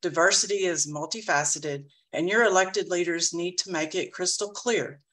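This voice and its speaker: A woman reading a prepared campaign speech as a board candidate, measured and earnest. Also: on the low side, a bit bright.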